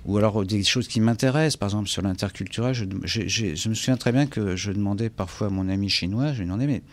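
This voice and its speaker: Low-voiced Frenchman, hesitant and reflective. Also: quick pace. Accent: French from France. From France. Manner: hesitant, searching for words, repeating himself. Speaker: interview guest, English teacher and author. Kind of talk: reflective interview conversation.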